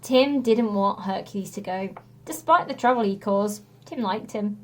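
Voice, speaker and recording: A woman reading a children's story aloud as a teacher would to a class, with expressive narration. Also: medium pitch, normal speed.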